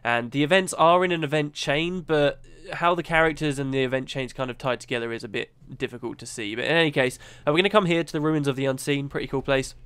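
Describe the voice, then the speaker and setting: A man with a cold narrating a gameplay guide, explaining things step by step in a relaxed, chatty way. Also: on the high side, fast, few pauses, clear, resonant tone, no room sound. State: has a cold, sniffly. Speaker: gaming channel host.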